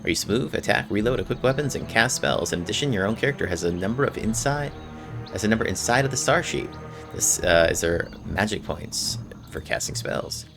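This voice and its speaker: A man's voice: on the low side, lively intonation, fairly quick, creaky. A man hosting a gameplay video, casually commenting and reading game text aloud in an informal way. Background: faint game music.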